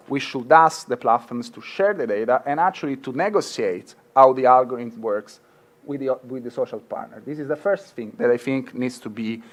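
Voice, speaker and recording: A middle-aged man with a marked Italian accent, a law professor speaking earnestly at a conference, with frequent fillers and restarts. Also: medium pitch. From Italy. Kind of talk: conference talk.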